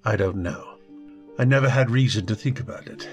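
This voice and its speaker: An actor playing a guilt-ridden, anguished husband in a radio drama, speaking haltingly as emotion breaks through. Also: male voice, on the low side, soft background music, close to an everyday voice. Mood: tormented confession. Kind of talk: old-time radio drama acting.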